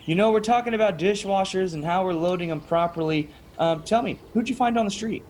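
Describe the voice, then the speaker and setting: A man's voice: high-pitched, a little fast, darkish tone, slight background noise, close to an everyday voice. A man playing an easygoing panel show anchor in an improvised comedy sketch, moderating casually.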